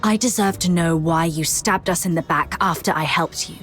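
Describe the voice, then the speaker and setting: A composed young officer, played by a woman, speaks in a slightly low, steady voice. She asks her questions firmly and keeps herself controlled, with faint game music behind. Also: normal speed, close to an everyday voice.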